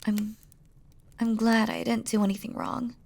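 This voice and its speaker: A woman playing a devoted, regal demon queen in a romantic audio roleplay, speaking softly and formally to her lover, often dropping to a whisper. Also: fairly high pitch, flat delivery, unhurried, gravelly. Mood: apologetic, concerned. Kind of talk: scripted romantic audio roleplay, spoken intimately to the listener.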